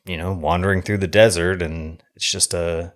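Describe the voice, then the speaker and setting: A man with a low, deep voice talks through films in a casual discussion. His delivery is halting and wandering, with thoughts often left hanging as he starts the next one. He sounds like a podcast co-host chatting informally. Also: fairly even intonation. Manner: halting, rambling, trailing off mid-thought.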